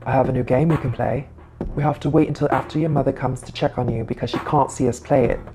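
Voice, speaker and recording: A woman voicing a small, sly monster in an animated horror story, coaxing and secretive as it poses as a child's playmate. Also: very deep voice, fast, music in the background. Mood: secretive, eager.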